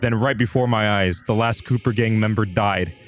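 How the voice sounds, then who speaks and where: A man's voice: fairly low pitch, steady tone, moderate pace, faint music behind. A man livestreaming a dramatic reading of an amateur horror story. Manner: breaks off into amused asides.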